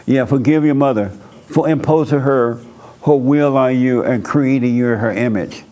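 A man preaches bluntly to his congregation, laying down advice in a dialect and chuckling at his own lines. His voice sits at a middle pitch. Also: moderate pace, warm tone. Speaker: pastor hosting a church call-in session. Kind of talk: blunt counseling talk to a congregation.

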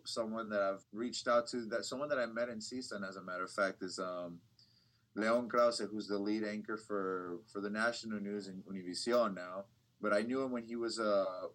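A young man with a fairly low voice talks casually about his reporting work. His answers ramble, circling back with fillers and false starts, easy and unhurried. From United States. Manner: relaxed, rambling, many restarts and fillers. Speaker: bilingual TV reporter, guest. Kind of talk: casual interview talk.

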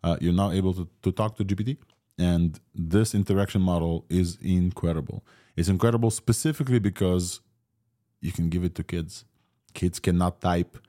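Low-voiced man with a Ukrainian Israeli accent. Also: moderate pace, warm tone. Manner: rambling, many fillers and restarts. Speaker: podcast host. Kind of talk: casual tech podcast talk.

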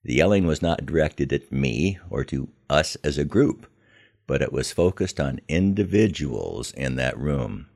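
An older American man telling a scripted first-person story in a measured, reflective manner. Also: extremely low pitch, animated, gravelly, darkish tone. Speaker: podcast host telling his memoir.

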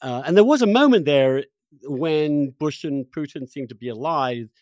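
A man's voice: fairly high pitch, lively intonation, moderate pace, a little mellow, no echo. An American man hosting a casual political interview, talking in a discursive, opinionated, searching way. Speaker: interviewer, host.

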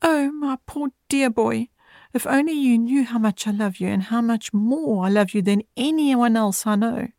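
A woman voicing a loving mother in a storybook reading, speaking softly and tenderly, in a voice raised a little above her own. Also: fairly high pitch, lively intonation, dry recording, clean studio sound, pitched above the performer's own voice. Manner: soft, tender, slow.

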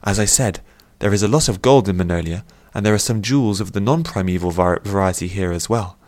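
A man's voice: sits low, fairly quick, darkish tone, natural voice. A man voicing a young princess in an audiobook, poised and playful, with formal, courtly phrasing. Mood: musing, teasing. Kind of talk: audiobook character voice.

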